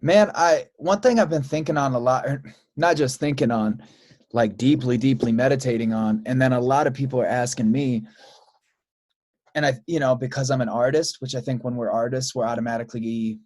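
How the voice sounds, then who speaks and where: A man with a medium-pitched voice hosts a casual podcast conversation. He speaks informally and searches for his wording, building questions piece by piece. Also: steady tone, brisk, a little mellow. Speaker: podcast host, musician.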